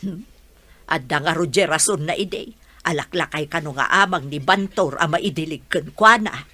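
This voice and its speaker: A woman's voice: low voice, moderate pace, bright, forceful tone, no room sound. A woman acting a frank, admonishing villager in an Ilocano radio drama. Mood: explaining.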